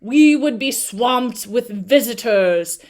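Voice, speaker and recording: A huge, ancient male sphinx with a low, rough voice, clipping his words in a staccato way, as a woman puts him on while reading a storybook. He speaks slowly and solemnly, pausing between phrases. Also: lively intonation, a little mellow. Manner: slow, measured and solemn. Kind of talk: storybook read-aloud.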